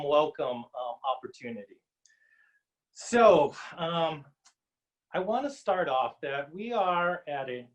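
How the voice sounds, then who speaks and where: Slow, deliberate Samoan-born man on a call. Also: mid-range voice, fairly bright tone. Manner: slow, deliberate, wordy with many ums. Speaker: guest speaker. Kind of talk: webinar talk. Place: home. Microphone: video call. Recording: dull lo-fi video call audio.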